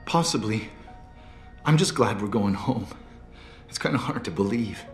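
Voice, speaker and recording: Anxious, faltering captive scientist. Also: male voice, lowish voice, lively intonation, unhurried. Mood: hesitant, subdued. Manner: hesitant, faltering, stammering. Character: anxious, captive male scientist, timid and apologetic. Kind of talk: video game cutscene dialogue. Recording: roomy game cutscene with music. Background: background music.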